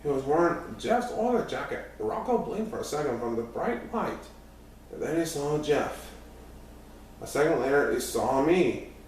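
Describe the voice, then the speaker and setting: A man's voice: a little high, lively intonation, a little slow. A man reading a first-person youth story aloud as scripted narration, now and then stumbling over a word. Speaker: audiobook reader. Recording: very echoey room, distant mic, faint noise.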